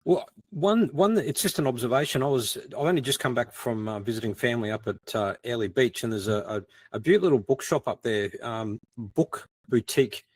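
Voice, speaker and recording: A man's voice: mid-range voice, lively intonation, fairly quick, hardly any pauses, slightly rough, no room sound. A man in a casual panel discussion, talking in an informal, self-deprecating way. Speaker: podcast co-host as panel guest.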